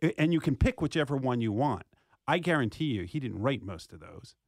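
Male sports talk co-host, mid-pitched and closely recorded dry, opinionated and argumentative, hammers points through repetition. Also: big pitch swings, fairly quick, creaky, a little mellow, no echo. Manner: argumentative, emphatic, repeats phrases for emphasis.

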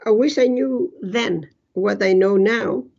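Older greek lady being interviewed, raspy gravelly voice, says it straight, kinda dull lo-fi sound. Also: medium pitch, takes it slowly, a little mellow. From Greece. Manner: blunt, emphatic, self-assured. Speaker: interview guest, physician and author. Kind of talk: conversational personal storytelling.

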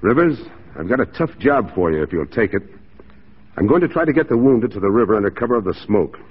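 An actor playing a stoic, dutiful mounted police sergeant in a 1940s radio drama, terse and firm. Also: male voice, on the low side, very animated, fast talker, husky. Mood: resolute. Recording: dull 1940s radio recording.

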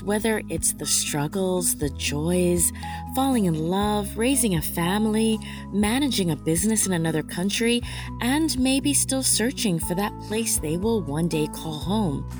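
A woman hosting a podcast, reading her show intro and then asking her guest questions in an encouraging way. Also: medium pitch. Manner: encouraging, with many short "mm-hmm" replies. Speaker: podcast host.

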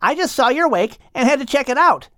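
A man's voice: very high-pitched, very animated, brisk, fairly bright tone, no echo, studio quality, voice pushed up, heavily acted. A man voicing a bouncy, cheeky young dolphin in an audiobook, with a breezy, chatty manner.

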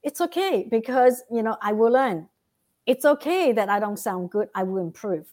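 Earnest Vietnamese-accented woman, fairly high voice. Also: expressive, normal speed, darkish tone. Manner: earnest, keeps checking in with "right?". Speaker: guest. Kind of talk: motivational interview talk.

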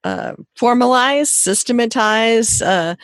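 Podcast host, woman, medium pitch, dry close recording, friendly interviewer. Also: takes it slowly, no echo.

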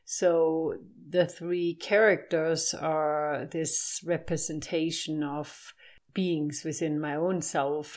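An older woman, a native German speaker with a clear German accent, talks reflectively as an interview guest. Her voice sits low and her delivery is slow, with halting moments as she searches for words. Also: a little mellow.

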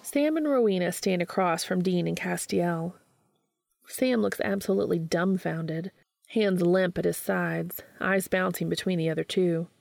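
A woman reading fiction aloud as an expressive scripted narration. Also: on the low side, unhurried, creaky, dry recording, faint music behind. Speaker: fan fiction reader.

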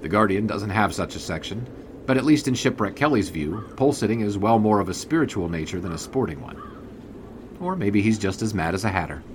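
Clear ambient noise sits behind a man playing a 1920s sports writer. His voice is on the low side, and he narrates the audio drama in a dry, bemused, formal manner. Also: expressive, fairly quick, natural voice. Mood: wry, bemused. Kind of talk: scripted first-person narration in audio drama.

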